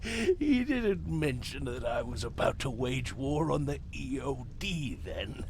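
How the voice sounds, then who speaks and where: Video game prophet of doom: grave, formal, halting, wide swings in pitch, breathy whispers, faint noise behind. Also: male voice, big pitch swings. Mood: fervent, urgent. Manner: theatrical, halting, cryptic, formal. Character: grave, fervent male doomsday prophet with dwindling time. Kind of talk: dramatic video game voice acting.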